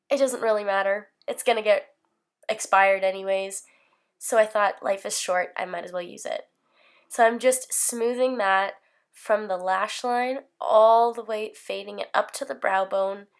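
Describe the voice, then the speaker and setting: A woman in her twenties with a slight cold, talking through a makeup tutorial in a casual, upbeat, rambling way. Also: mid-range voice. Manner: chatty, upbeat, rambling with fillers. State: hoarse from a cold. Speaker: beauty vlogger. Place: bedroom filming setup.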